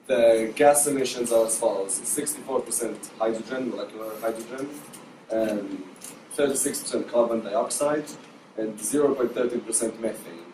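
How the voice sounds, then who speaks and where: A young man from Israel presents, hesitant and full of ums. He is heard from a distance in an echoey room, and steady noise runs behind him. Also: mid-range voice, moderate pace. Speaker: student presenter.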